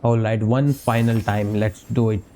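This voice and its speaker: A woman's voice: rumbling low, fairly even intonation, normal speed, dark tone, slight background noise. A woman streaming a game, chatting casually, giggling and thinking aloud. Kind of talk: casual let's-play commentary. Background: game audio and music.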